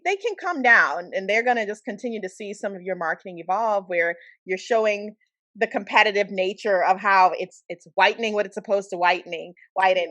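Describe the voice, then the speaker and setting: A woman from the Bahamas hosts a podcast conversation, animated and encouraging, with frequent laughs and mm-hmms. Her voice sits mid-range, recorded close and dry. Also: mid-range voice, no room sound. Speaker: podcast host. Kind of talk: casual podcast interview.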